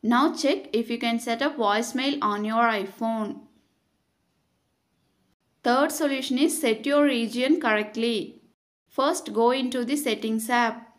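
A woman reading scripted step-by-step tutorial narration in a measured, instructional way. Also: fairly high pitch, fairly bright tone.

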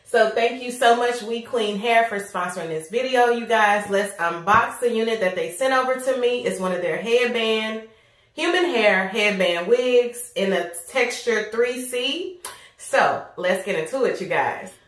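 A woman's voice: a little high, measured pace, distant mic. Nasal chatty woman vlogger, roomy sound. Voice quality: nasal from allergies. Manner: chatty, addresses viewers as girl and honey. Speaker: beauty vlogger. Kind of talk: casual product review. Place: small room at home, indoors. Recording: roomy echo, voice off-mic.

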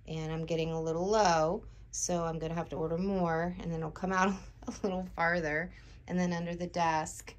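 A woman in her forties chatting casually in a small home art studio, rambling as she talks to the camera, still in a groggy morning voice. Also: on the low side. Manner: relaxed, rambling self-talk. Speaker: artist vlogger.

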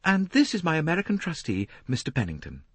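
Brief: young heiress, voiced by a man, soft and slightly shy, raised pitch, breathy hush. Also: huge pitch swings, fast talker, no room sound, full character voice. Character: young wealthy woman, shy and proud.

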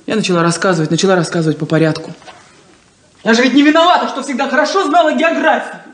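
An actress playing a proud, insecure young daughter in a 1970s Soviet radio play, with a theatrical, defensive, wounded delivery. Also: female voice, a little high, quick pace, close to an everyday voice. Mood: wry hurt. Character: proud, insecure, plain-spoken unmarried daughter. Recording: roomy 1970s radio drama recording.

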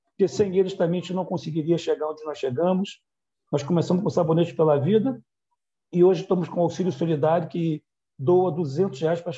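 A man's voice: a little high, warm tone. A middle-aged man with a Rio de Janeiro accent, a community leader giving a hesitant thank-you speech over a video call. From Rio de Janeiro, Brazil. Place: small room on a video call. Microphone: wired earphone mic. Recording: dull, lo-fi video call audio.

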